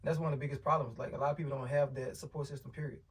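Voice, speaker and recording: A man hosting a podcast, blunt and quick, swearing freely. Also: medium pitch, level tone, husky, recorded from a distance. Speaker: podcast host. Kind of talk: casual podcast chat.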